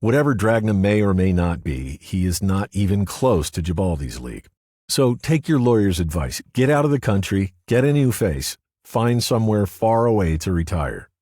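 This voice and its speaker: A man doing a calm, menacing fixer. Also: fairly low pitch, moderate pace, no room sound, studio quality, pitched above the performer's own voice. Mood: warning.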